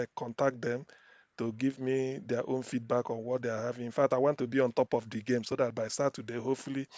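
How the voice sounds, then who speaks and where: A man on an online panel gives hopeful, rallying remarks in a middle-pitched voice. The sound is dry and close. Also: a little mellow, no echo, lo-fi sound.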